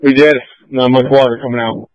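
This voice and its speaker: A man's voice: mid-range voice, level tone, a little fast, dry recording. A man, a firefighter, giving field reports over a two-way radio. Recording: dull, lo-fi two-way radio transmission.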